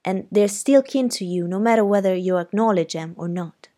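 A woman's voice: mid-range voice, normal speed, warm tone, lightly acted. A woman reading aloud voices an earnest teenage boy who talks to his younger sister in an eager, confiding way.

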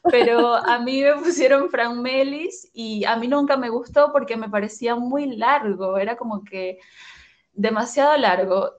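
A woman in her twenties with a Venezuelan accent, casually telling her own story as a podcast guest in an informal, giggly manner. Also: mid-range voice. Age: 20s.

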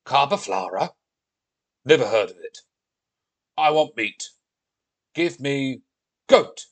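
Man putting on a loud, blustering Viking king. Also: medium pitch, animated, slow pace. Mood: demanding, hungry. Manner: blunt, demanding, exclaiming. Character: huge childish tantrum-throwing Viking king, loud and blustering.

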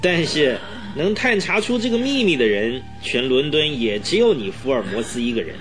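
A man's voice: medium pitch, sing-song, normal speed, a bit bright, faint music behind. An actor playing a smug, cruel murderer in a radio drama, sneering and boastful as he mocks a victim. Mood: gloating, mocking. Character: gloating male murderer, cruel, smug, mocking villain. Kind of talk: Mandarin radio drama acting.

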